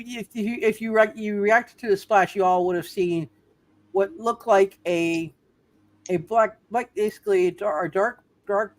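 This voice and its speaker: A woman running an improvised tabletop roleplay as game master, halting and often repeating phrases as she narrates. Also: lowish voice.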